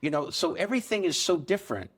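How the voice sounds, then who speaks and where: A middle-aged man, a business co-founder, explaining his trade in a relaxed interview, telling firsthand stories. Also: a little high, quick pace, slightly rough. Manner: explanatory, anecdotal, with occasional laughs. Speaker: gold business co-founder, guest. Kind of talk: conversational interview answers.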